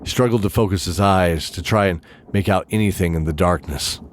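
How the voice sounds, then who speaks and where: A man narrating a scripted fantasy drama in measured, dramatic phrases with deliberate pauses. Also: deep voice, a little hiss or hum.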